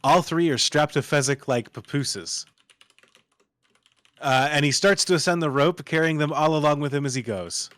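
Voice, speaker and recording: A man's voice: on the high side, normal speed, fairly bright tone, dry recording. A man reading stage directions as dramatic scripted narration at a live table read.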